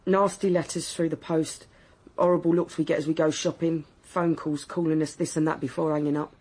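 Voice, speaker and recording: An actress playing a blunt, defensive young working-class wife in a naturalistic radio drama, colloquial and outspoken. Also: female voice, low voice, level tone, brisk, a little mellow, slightly rough, rough recording. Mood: defensive, fed up.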